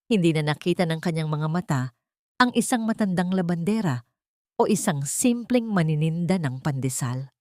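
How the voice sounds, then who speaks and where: Low-voiced woman narrating a dramatic story. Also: animated, moderate pace, dark tone, dry recording, studio quality.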